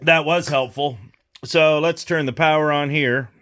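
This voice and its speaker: A man hosting a repair vlog, talking casually and thinking aloud in an unhurried way as he demonstrates hands-on work. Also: on the high side, steady tone, fairly bright tone. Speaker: host of a radio repair vlog.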